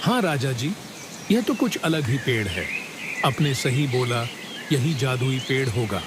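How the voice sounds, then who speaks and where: Casting: a bright, brave young villager, animated and earnest. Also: male voice, medium pitch, a little slow, very noisy. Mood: agreeable. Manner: eager and polite, lively delivery. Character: clever, brave poor young village man. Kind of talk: cartoon voice acting.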